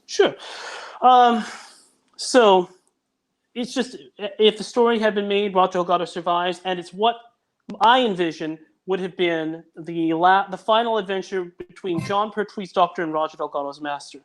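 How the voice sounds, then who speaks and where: A man's voice: high voice, normal speed. A man who writes audio dramas, talking as a guest on a video call and thinking aloud while he explains his story. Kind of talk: casual video chat.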